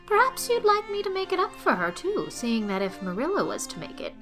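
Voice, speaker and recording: An actress playing a bossy, opinionated middle-aged village gossip who talks briskly and with great self-assurance. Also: female voice, fairly high pitch, poor audio. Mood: helpful.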